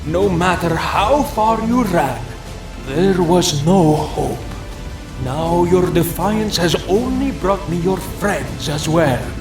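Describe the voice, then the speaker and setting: A man's voice: high-pitched, expressive, slow pace, music in the background, heavily acted, low-quality recording. An actor playing a menacing ancient male vampire lord, gloating and theatrical, in an audio drama.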